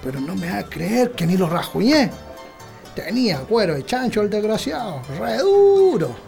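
A boastful rural Chilean husband in a radio play. His high voice is gravelly and swings widely as he talks in a colloquial, dismissive way. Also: very animated, moderate pace, darkish tone, faint music behind, heavily acted. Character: stubborn, boastful countryman, a carousing husband and father. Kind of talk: radio drama acting.

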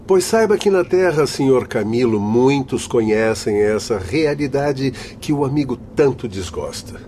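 A man's voice: medium pitch, dark tone, slight background noise, natural voice. A man acting in a radio drama as a stern, learned spirit guide who lectures in formal, emphatic oratory. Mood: instructive.

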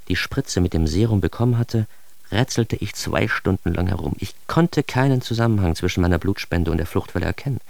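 A man's voice: low-pitched, creaky, dry recording. An actor playing a self-aggrandizing, wry man telling his own absurd story in a radio drama monologue, ironic and theatrical. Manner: ironic, theatrical, shifting into hushed asides.

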